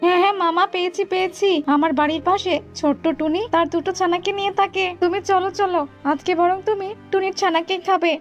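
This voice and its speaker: Casting: woman to play an eager-to-please, scheming cartoon crow, fast-talking and excitable; muffled lo-fi sound over music. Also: very high-pitched. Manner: hurried, excitable, wheedling. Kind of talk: children's animated folk-tale acting. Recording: dull lo-fi audio with music behind.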